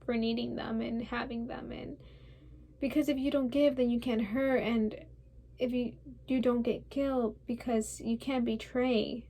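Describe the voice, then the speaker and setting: A stubborn, wounded young woman played by a woman in an intense stage monologue. Her voice sits fairly high with a strong creak, and she rambles insistently, piling up lists and defending herself. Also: dark tone. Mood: pained, insistent.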